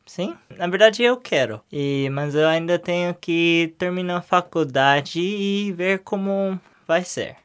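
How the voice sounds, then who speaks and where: Young man, halting speech. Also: high-pitched, clear, resonant tone. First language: English. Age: young adult. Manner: hesitant, searching for words in a second language. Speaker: interview guest. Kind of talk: casual interview answers.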